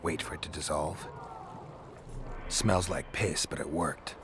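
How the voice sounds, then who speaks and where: An actor playing a gruff, sardonic monster hunter in a video game, with a deadpan, gravelly delivery. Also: male voice, a bass voice, unhurried, noisy background. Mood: muttering to himself. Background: music.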